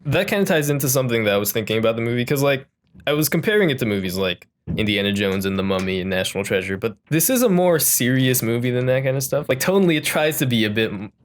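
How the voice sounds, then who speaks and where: A man with a medium-pitched voice co-hosts a podcast. He discusses films in a relaxed conversational style, as among fellow hosts. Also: quick pace. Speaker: podcast co-host. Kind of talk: casual film discussion.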